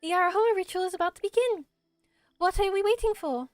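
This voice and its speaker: A woman voicing a small, gentle, childlike forest spirit in a video game, solemn and earnest, with quaint, ceremonious phrasing. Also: extremely high pitch, quick pace, no room sound. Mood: excited. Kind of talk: amateur voice acting of video game dialogue.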